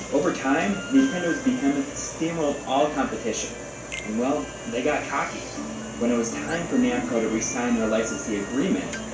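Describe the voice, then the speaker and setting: A man hosting a video game review show, narrating a scripted essay in casual, conversational phrasing. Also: medium pitch. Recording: roomy, distant, loud music over voice. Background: music.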